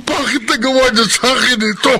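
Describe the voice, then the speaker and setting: An actor playing a wounded, betrayed young fiancé, plaintive, in a Tagalog melodrama. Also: male voice, extremely high pitch, fairly even intonation, fairly quick, very noisy. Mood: heartbroken, pleading. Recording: loud music under the voice.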